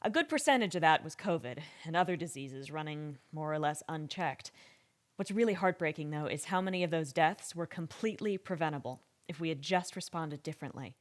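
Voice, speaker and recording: A woman playing a wry, candid government scientist giving conversational interview testimony with deliberate pauses in an audio drama. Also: deep voice, animated. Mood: earnest, frank.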